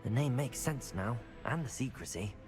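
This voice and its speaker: A man playing a polite, composed, brave teenage student wizard in a video game, with a British accent and a courteous, measured manner. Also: medium pitch, lively intonation, close to an everyday voice. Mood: calm, wry. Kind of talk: video game dialogue acting. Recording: music bed behind the voice.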